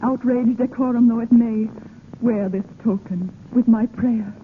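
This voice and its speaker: A woman's voice: fairly high pitch, steady tone, measured pace, natural voice. An actress playing a proud, romantic young noblewoman in old-time radio drama, formal, poised and courtly. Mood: poised, loving. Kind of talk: 1930s-40s radio drama acting. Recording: tinny, noisy old radio recording.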